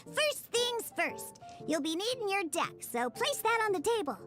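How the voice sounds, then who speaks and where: A perky young cat-girl bartender for a video game. The voice is tiny and extremely high, swinging animatedly as she explains and exclaims. Music plays clearly behind it. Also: very animated, a little slow, a bit bright, exaggerated voice. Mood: upbeat, instructive. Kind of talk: video game tutorial dialogue.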